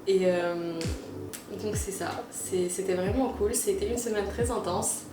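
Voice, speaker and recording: A young woman of Algerian origin, talking earnestly about her experience in a casual interview and pausing often with "euh". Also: medium pitch, echoey room, distant mic, music in the background. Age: young adult. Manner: earnest, with frequent "euh" pauses and restarts. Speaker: student interviewee.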